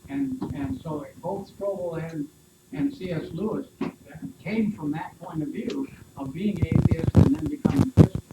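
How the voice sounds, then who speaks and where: A man's voice: high voice, sing-song, unhurried. A man in a study group thoughtfully sharing his view in long, rambling sentences. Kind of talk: casual discussion. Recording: echoey room, distant mic, loud hiss.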